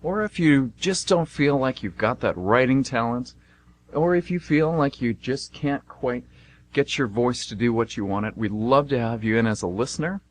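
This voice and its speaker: A man's voice: medium pitch, expressive, normal speed. A man hosting an amateur radio theater show and reading its scripted narration.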